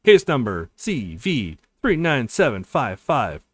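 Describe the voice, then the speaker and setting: A man's voice: medium pitch, big pitch swings, takes it slowly, no echo. A man playing a stern, formal court official in a visual novel, announcing in a ceremonious manner.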